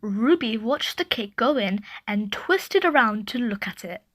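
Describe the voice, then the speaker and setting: A woman reading a children's story aloud in an expressive narrating style. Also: fairly high pitch, unhurried, slight background noise. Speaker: storybook reader. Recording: roomy echo, music.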